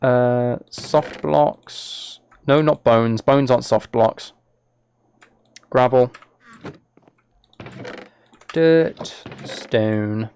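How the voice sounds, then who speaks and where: A man's voice: mid-range voice, extremely slow, long pauses, darkish tone, slight background noise. A man chatting casually on a live game stream, thinking aloud as he plays and replying to viewers.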